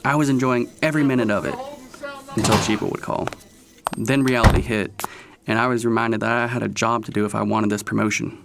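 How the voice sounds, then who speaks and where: A man's voice: on the low side, steady tone, a little fast, vocal fry, noisy background. An actor playing an ambitious, self-assured male prosecutor in an audio drama, with a pointed, knowing delivery. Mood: confiding, reflective. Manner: confiding in narration, sharp and sarcastic in argument. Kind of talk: audio drama narration and dialogue.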